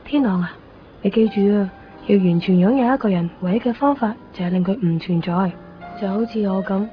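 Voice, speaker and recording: A woman's voice: mid-range voice, fairly quick, soft background music, natural voice. An actress playing an enigmatic, fatalistic teenage schoolgirl in a radio drama, with a Hong Kong accent and a wry, philosophical manner. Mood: wry farewell. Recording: muffled radio recording, faint background noise.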